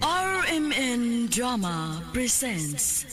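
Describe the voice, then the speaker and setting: A woman's voice: a little high, big pitch swings, drawn-out words, over music. A woman with a Cebuano accent reading formal scripted narration as a radio drama announcer.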